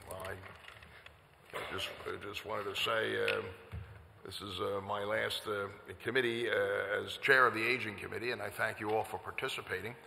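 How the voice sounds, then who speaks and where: An older man chairing a city council hearing, speaking loudly and haltingly with many fillers and repeated words. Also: lowish voice, fairly even intonation, creaky, slight background noise. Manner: loud voice. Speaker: committee chair. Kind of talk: closing remarks at a public hearing. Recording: echoey council chamber with long reverb.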